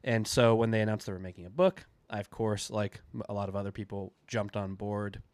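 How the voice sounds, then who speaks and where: A man's voice: lowish voice, fairly even intonation, a little fast, a little mellow, dry recording. A man with a cold and a stuffy, nasal voice, chatting casually as host of a book review video. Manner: chatty, loose, with fillers and restarts. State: congested from a cold.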